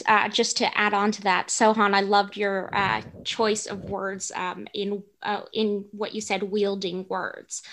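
Young woman, measured and hesitant. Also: medium pitch, fairly bright tone. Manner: hesitant, measured, formal phrasing. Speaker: director on a production panel. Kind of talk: thoughtful academic panel discussion.

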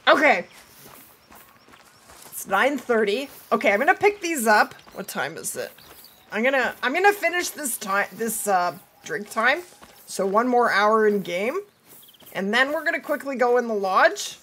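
A woman's voice: fairly high pitch, sing-song, unhurried, bright, forceful tone. A woman in her thirties livestreaming a game in casual, chatty talk, her voice strained by a cold. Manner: chatty, talking to viewers and to the game. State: has a cold, losing her voice. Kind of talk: casual livestream gameplay chat. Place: indoors at a streaming setup.

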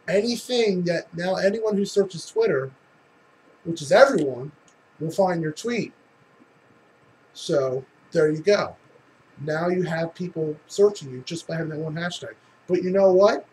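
A man's voice: a little high, a little mellow, slight background noise, rough recording. A man giving a casual comedic tutorial in a tongue-in-cheek, mock-instructional way with casual swearing. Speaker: tutorial presenter.

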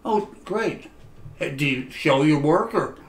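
A man's voice: fairly high pitch, expressive, measured pace, echoey room. A man interviewing an older couple off camera, encouraging them with frequent short replies and follow-up questions. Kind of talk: oral history interview.

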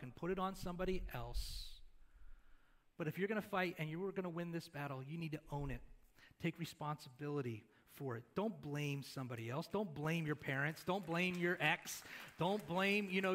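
Middle-aged pastor guy, kinda high voice, chatty sermon style, asking the crowd questions. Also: fairly bright tone. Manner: conversational, asks the congregation questions; moves around the stage and gestures while preaching. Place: church stage.

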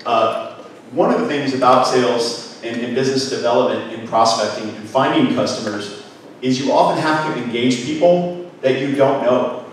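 Energetic guy giving a business workshop, handheld mic, echoey room, little hiss. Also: medium pitch, normal speed. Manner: energetic, gestures, asks the room questions. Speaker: business speaker. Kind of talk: live workshop talk to an audience. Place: conference room with projector screen. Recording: echoey room from far off, faint noise.

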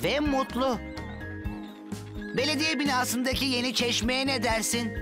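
A man voicing a timid, eager, childlike crane truck with a hesitant manner in an animated dub. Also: very high-pitched, measured pace. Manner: hesitant, apologetic. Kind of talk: animated cartoon dub acting. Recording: music bed behind the voice.

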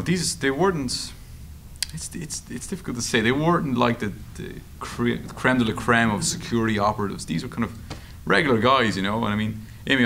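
An Irish man answering interview questions in a halting, informal, anecdotal way at a table microphone. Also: mid-range voice. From Ireland. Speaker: journalist and author being interviewed. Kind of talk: conversational interview answers at a public discussion. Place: meeting room at a table. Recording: steady background room noise.

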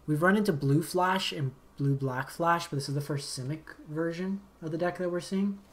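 A man, slightly raspy from a long day of talking, calmly commentating his card game as he plays, thinking aloud and muttering asides. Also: a little high, normal speed. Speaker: game streamer. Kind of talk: casual gameplay commentary. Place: home streaming setup.

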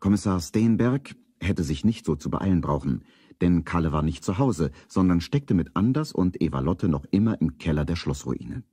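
An actor narrating a German children's radio play as a storyteller, in scripted narration. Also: male voice, low-pitched, expressive, moderate pace, warm tone, breathy edge, dry recording, clean studio sound. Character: calm storyteller of a children's detective tale.

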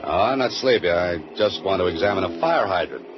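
A deep-voiced, wisecracking writer. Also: male voice, expressive, a little fast. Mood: wry. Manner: dry, wisecracking. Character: wry male mystery writer on the run. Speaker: lead actor and narrator. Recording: dull old radio recording with music.

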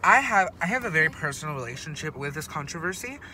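A man's voice: fairly high pitch, very animated, quick pace, noisy background. A teenage boy co-hosting a casual chat show from a parked car, playful and jokey. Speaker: co-host. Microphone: phone camera on dashboard.

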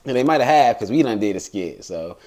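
A man's voice: mid-range voice, lively intonation, fairly quick, darkish tone. A man hosting an interview, asking easygoing questions and filling with casual asides. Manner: easygoing, fills with "man" and "you know". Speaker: host.

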